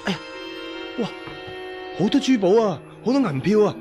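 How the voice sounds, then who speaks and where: 韋小寶，聲線偏高嘅鬼馬小滑頭. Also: male voice, high voice, moderate pace, music in the background. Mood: excited. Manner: excitable, sly, colloquial. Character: cheeky, greedy, crafty young rascal. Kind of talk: Cantonese radio drama acting.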